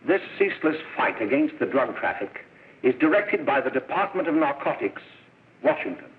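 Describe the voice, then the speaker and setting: A grave middle-aged doctor in a 1930s propaganda film, alarmist and declamatory. His voice sits a little high and delivers each warning with heavy emphasis. The sound is a thin, narrow old soundtrack. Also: sing-song, normal speed. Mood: grave, urgent. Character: stern, alarmist middle-aged doctor lecturing parents on moral danger. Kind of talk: 1930s film oratory, scripted lecture.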